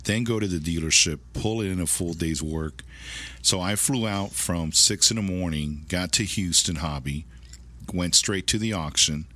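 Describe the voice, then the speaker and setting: Middle aged guy, low deep voice, car lot manager chatting in an interview, kinda rambles and repeats himself. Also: a little slow, bright, forceful tone. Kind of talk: casual interview chat.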